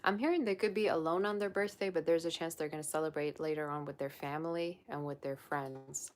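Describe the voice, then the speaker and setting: A woman's voice: deep voice, fairly even intonation, a little fast. A young woman, a psychic tarot reader, chatting casually on a livestream and reading viewer comments aloud. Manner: chatty and rambling, with many "like" fillers.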